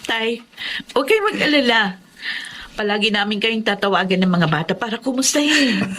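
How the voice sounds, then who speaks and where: A woman's voice: fairly high pitch, expressive, moderate pace, fairly bright tone, a little hiss or hum. An actress playing a dutiful, respectful Filipino wife and mother in a sentimental drama, speaking in an earnest, heartfelt way. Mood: apologetic, earnest. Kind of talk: sentimental drama narration and acting. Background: music.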